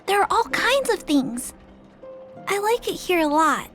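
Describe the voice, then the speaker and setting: A woman's voice: very high voice, very animated, measured pace, loud music behind the voice, exaggerated voice. A woman voicing a shy, polite, sweet little girl in a video game, timid and well-mannered.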